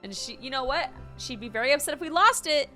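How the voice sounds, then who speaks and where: A woman's voice: high voice, expressive, fast talker, sharp tone, soft background music. A woman streamer giving casual, gushing reaction commentary on a game, repeating words for emphasis. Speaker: gaming streamer.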